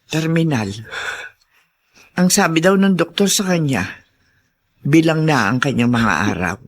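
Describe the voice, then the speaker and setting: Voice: mid-range voice, a little slow, close to an everyday voice. A performer playing a concerned older neighbour woman in a radio drama, hesitant, confiding and pleading. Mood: grave, confiding. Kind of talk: Tagalog radio drama acting.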